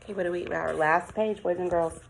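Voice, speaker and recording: A woman teacher reading a picture book aloud to young children, expressive and sing-song, coaxing with questions and exclamations. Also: on the low side, brisk, gravelly, slight background noise.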